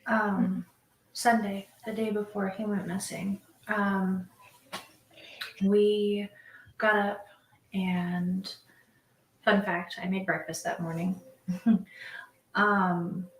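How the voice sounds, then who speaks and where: Slow gravelly mother in an echoey room. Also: mid-range voice, slight background noise. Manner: halting, many ums, flat and slow. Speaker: interviewee, mother. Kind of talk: recorded interview.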